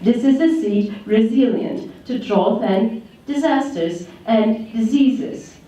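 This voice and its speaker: A woman's voice: a little high, dark tone. Turkish woman giving a poetic speech in an echoey hall. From Turkey. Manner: slow, repetitive incantatory delivery. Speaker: conference speaker. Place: large conference hall. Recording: echoey hall, speaker far from mic.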